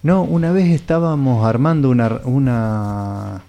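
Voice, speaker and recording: A man's voice: medium pitch, measured pace, vocal fry, crowd noise. A man with a Rioplatense accent in a radio play, playing a muddled, dreamy storyteller who hesitates, rambles and repeats himself. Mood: rambling, hesitant. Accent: Rioplatense Argentine. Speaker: radio drama actor. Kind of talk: conversational radio theatre.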